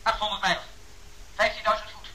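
An actor playing an aircraft skipper in danger in a Dutch radio drama, speaking urgently. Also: male voice, sits high, flat delivery, fairly quick, sharp tone. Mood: alarmed. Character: panicked male aircraft skipper in mortal danger.

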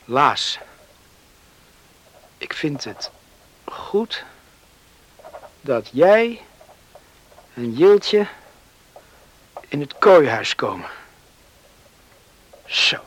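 A man's voice: fairly high pitch, sing-song, drawn-out words, long pauses, fairly bright tone, full character voice, poor audio. An actor playing a restless young man in a Dutch radio play, stammering a little when challenged. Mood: musing. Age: young adult. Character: restless young man craving freedom, talks to his dog.

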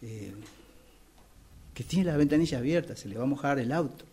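A man's voice: mid-range voice, animated, moderate pace, mellow, husky. A man with a Rioplatense Argentine accent acting a chatty, over-familiar, effusive acquaintance in a radio play. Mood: neighbourly, insistent. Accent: Rioplatense Argentine Spanish. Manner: effusive, chummy.

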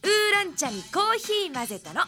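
Mischievous young woman, high chirpy voice, very animated, anime radio drama, music bed. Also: a little fast, exaggerated voice. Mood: teasing. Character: perky, mischievous young female assistant.

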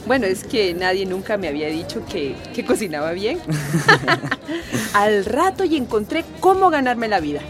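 Woman acting kindly cook, encouraging, lively intonation, music behind, radio drama. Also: medium pitch, normal speed, close to an everyday voice. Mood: cheerful, modest. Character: Doña Meche, kindly, modest, encouraging home cook.

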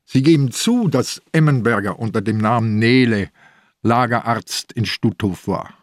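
A man's voice: medium pitch, expressive, a little slow, dry recording, clean studio sound, close to an everyday voice. An older man playing an exhausted but dogged police inspector in a radio crime drama, speaking in a weary, insistent way.

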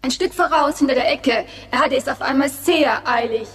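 A woman's voice: high voice, moderate pace. A woman playing a plucky, forthright companion to a detective in a sci-fi radio play. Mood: worried. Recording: radio play with faint music behind.

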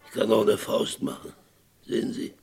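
An actor playing a boastful, haunted, whisky-drinking old former sheriff in his eighties, halting and dramatic, in a German western radio play. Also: a little mellow, soft background music, close to an everyday voice. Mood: brooding, bitter. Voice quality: gravelly. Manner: halting, repeating himself, dramatic.

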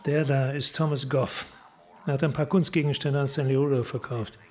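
An actor in a German radio crime drama playing a tough, cynical police detective, blunt, terse and bullying. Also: male voice, mid-range voice, steady tone, moderate pace, a little mellow, a little hiss or hum, lightly acted. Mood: matter-of-fact. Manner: blunt, terse, hard-boiled.

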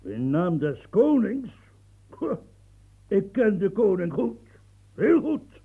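Proud old marshal, gravelly, husky, lively swings, radio play. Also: male voice, sits high, slow pace, narrow, tinny audio, heavily acted. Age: older. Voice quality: gravelly, coughing. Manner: swings from mumbling to proud outbursts. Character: aging gruff old marshal, proud and blustering.

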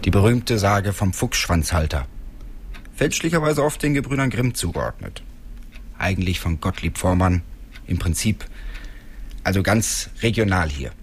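An actor playing an older local man in a radio drama, telling town lore in a deliberate, knowing way. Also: sits low, expressive, slight background noise. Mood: knowing, grave.

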